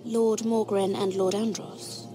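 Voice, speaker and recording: A woman's voice: mid-range voice, little pitch movement, measured pace, natural voice. A young woman playing a guarded, determined young noble handmaiden in a video game drama. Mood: worried, guarded. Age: young adult. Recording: music playing behind the voice.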